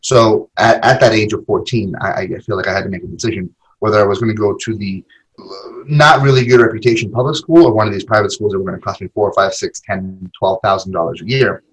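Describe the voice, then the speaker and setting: A podcast guest in his forties talks through his memories in a loose, conversational way, often doubling back on his own words. His voice sits low and has a breathy, husky edge. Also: fairly even intonation, quick pace, low-quality recording. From Argentina. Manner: rambling, with many fillers and repeated words. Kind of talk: casual interview conversation.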